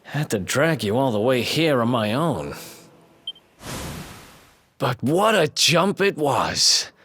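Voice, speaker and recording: A man's voice: medium pitch, sing-song, slow pace, fairly bright tone, a little hiss or hum. A man playing a brash, cheeky young thief on the run in a video game. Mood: relieved, wry.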